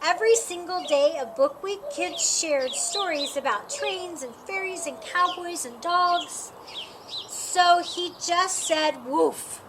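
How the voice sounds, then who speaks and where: A woman reading a children's picture book aloud in an expressive, deliberate way. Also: extremely high pitch. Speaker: storytime reader. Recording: clearly noisy, with birdsong behind. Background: birds chirping.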